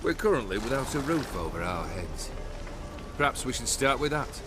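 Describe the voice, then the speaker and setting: A man's voice: mid-range voice, sing-song, moderate pace, music in the background, lightly acted. An actor playing a cold, haughty nobleman who leads bandits in a video game, mocking and formal in his phrasing. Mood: mocking, imperious.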